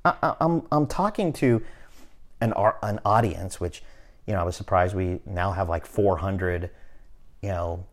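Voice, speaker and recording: A man in his fifties hosting a podcast, talking in a relaxed, motivational way and often checking in with "right?". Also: deep voice, unhurried. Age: 50s. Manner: conversational, repeats "right?" often, restarts phrases. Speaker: podcast host. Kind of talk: casual motivational chat.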